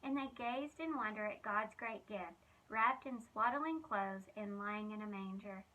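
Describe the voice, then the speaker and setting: A woman reading a children's storybook aloud in an expressive, dramatic way with emphatic phrasing. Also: mid-range voice, a little slow, low-quality recording. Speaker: children's ministry reader.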